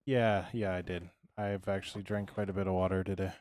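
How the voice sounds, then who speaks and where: Hoarse low-voiced man streaming casually. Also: little pitch movement, a little fast, a little mellow. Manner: laid-back, rambling with trailing "so" and "yeah". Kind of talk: casual livestream chat while building in a game.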